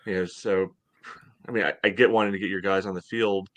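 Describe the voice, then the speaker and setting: A man in his thirties giving casual sports analysis in a measured, conversational way as a podcast co-host on a video call from home. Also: sits low, steady tone, fairly bright tone. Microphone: headset on video call.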